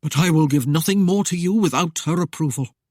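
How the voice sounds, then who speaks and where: A man voicing a cold, aloof nobleman who speaks in a curt, measured way. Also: on the high side, a little mellow, dry recording, studio quality, close to an everyday voice. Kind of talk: audiobook character voice.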